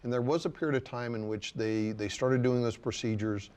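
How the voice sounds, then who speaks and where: A man's voice: mid-range voice, brisk, a little mellow, smooth. A man, a surgeon, chatting casually and explaining treatment options with an easy, explanatory manner. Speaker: surgeon guest. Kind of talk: casual panel chat.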